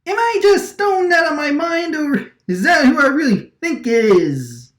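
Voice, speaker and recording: A woman's voice: high-pitched, very animated, a little slow, roomy sound. A woman acting an agitated, stammering wiseguy man with a vacuum for a face, recording radio drama lines. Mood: bewildered, suspicious. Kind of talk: radio drama line takes, gangster-movie wiseguy delivery.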